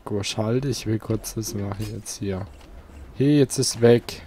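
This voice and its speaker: A man's voice: on the low side, unhurried, background noise. A man giving casual gameplay commentary, muttering to himself as he plays. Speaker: let's player.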